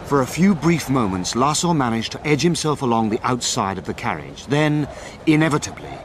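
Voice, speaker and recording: A man playing a detective's loyal friend, narrating a period radio drama in a measured, grave storytelling manner. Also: big pitch swings, natural voice. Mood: grave, dramatic. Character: detective's loyal male friend, recounting the adventure. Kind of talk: scripted first-person drama narration. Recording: clear background noise, rumbling ambience.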